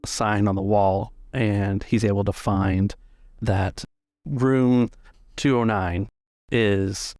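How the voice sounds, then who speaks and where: A man narrating a tabletop role-playing game as game master, describing scenes haltingly in the present tense. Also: lowish voice, fairly even intonation, a little mellow, no echo, clean studio sound.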